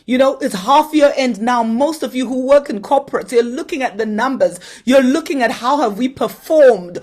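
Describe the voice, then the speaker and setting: A woman's voice: high voice, lively intonation, normal speed, darkish tone. A woman giving a motivational conference address, earnestly urging the audience to act and posing direct questions to them. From Zimbabwe. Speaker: conference opening speaker.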